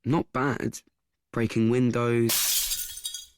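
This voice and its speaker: An actor playing a young nephew who frankly recalls his troubled family in a halting, self-mocking, confiding radio play monologue. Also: mid-range voice, flat delivery, noisy background. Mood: matter-of-fact. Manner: halting, repeats himself, conversational asides.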